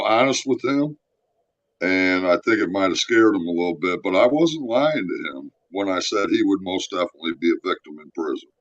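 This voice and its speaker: Middle-aged man, deep voice, earnest storyteller; dry, muffled call audio. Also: a bit bright, no room sound. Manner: earnest, storytelling, halting restarts. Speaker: guest giving testimony. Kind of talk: casual chat on a live stream. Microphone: video call. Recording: dry, dull lo-fi stream audio.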